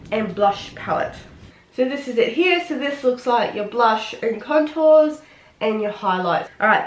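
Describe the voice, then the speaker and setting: Aussie woman with a cold, bit high and nasal, chatty beauty vlog in an echoey room. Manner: chatty and excitable. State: sick with a head cold, nasal and sniffly. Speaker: beauty vlogger. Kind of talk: casual makeup tutorial. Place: home room.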